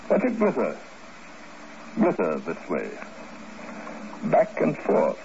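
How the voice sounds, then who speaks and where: Casting: brooding old-school general, deliberate and slow, low voice, vintage radio melodrama. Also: male voice, darkish tone, slight background noise. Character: brooding male general, trophy hunter, sly lulling hypnotist. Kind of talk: 1950s radio drama acting. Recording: dull old radio recording, music behind.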